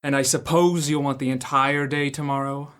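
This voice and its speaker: A man's voice: mid-range voice, little pitch movement, moderate pace, a bit bright, smooth, close to an everyday voice. A man playing a stingy, grumbling old male miser in a student radio drama, curt and scornful, barking orders. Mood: grudging.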